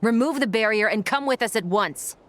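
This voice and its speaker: A woman's voice: fairly high pitch, expressive, a little fast, fairly bright tone, faint music behind. A woman voicing a stern law enforcer in a video game, firm and authoritative. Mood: stern, commanding.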